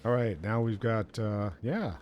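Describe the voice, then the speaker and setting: Guy streaming a game, lowish voice, just chatting, noisy background. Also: unhurried, highs cut off. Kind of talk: casual live gameplay chat. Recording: stream with clear background noise.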